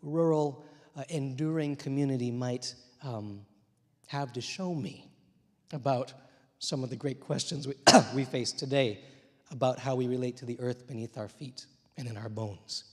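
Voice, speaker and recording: Slightly hoarse man telling a story on stage. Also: medium pitch, normal speed. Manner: reflective, gently humorous, many "uh" fillers. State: slightly hoarse from many interviews. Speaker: translator and poet. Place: theater stage, dark room. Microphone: handheld mic. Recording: long reverb of a theater hall.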